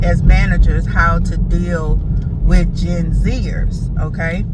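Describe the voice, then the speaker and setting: Lady in her 40s vlogging from her car, sassy and chatty, sounds kinda far from the mic, car noise behind. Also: on the low side. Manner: chatty, sassy, talks straight to viewers. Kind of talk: casual storytelling chat.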